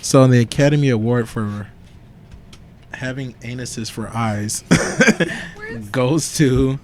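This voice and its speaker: A soft-spoken man on a casual podcast, reading party-game cards aloud and breaking into laughter. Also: mid-range voice, slow pace, crowd noise. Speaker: podcast guest.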